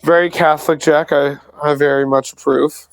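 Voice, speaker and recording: A player in a tabletop game, a man with a gravelly yet smooth voice. He speaks casually over dry, close audio with faint music in the background. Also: a little high, flat delivery, moderate pace, no room sound, rough recording.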